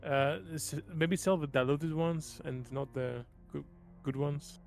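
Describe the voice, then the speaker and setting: A man playing a tabletop game, chatting casually and thinking aloud in a halting way. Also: a little high, fairly even intonation, gravelly, faint music behind. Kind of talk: casual chat.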